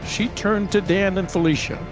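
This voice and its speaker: A man's voice: high-pitched, fairly even intonation, normal speed. A man narrating a scripted fantasy adventure in a dramatic storytelling style. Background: cinematic music.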